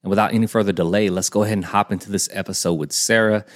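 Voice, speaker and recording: A laid-back man in his thirties from the hosts a casual podcast. His voice is very low comes through in his drawl and his "y'all." He jokes around as he talks. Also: fairly even intonation, racing. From Alabama, United States. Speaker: podcast host. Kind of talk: casual chat.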